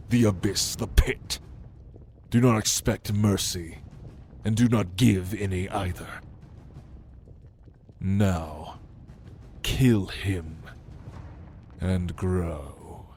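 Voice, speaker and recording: A man acting a cold, calculating demon lord in an ASMR fantasy roleplay, speaking in a measured, imperious way. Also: low-pitched, a little mellow. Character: commanding, cold, calculating male demon lord mentoring a newborn. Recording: noisy background ambience.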